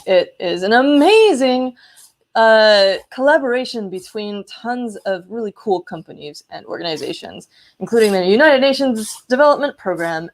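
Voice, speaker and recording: A woman's voice: mid-range voice, darkish tone. Woman, mid-range voice, enthusiastic, exclaiming, casual tech host, chatting to viewers. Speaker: live stream host.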